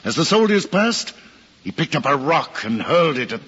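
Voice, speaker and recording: A worried, sorrowful friend in a 1950s radio drama. His husky voice carries grave, hesitant urgency. The recording sounds old and dull. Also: on the high side, very animated, normal speed, a bit bright, in a large hall, full character voice. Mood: grave, urgent. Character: fearful, sorrowful male friend bearing bad news.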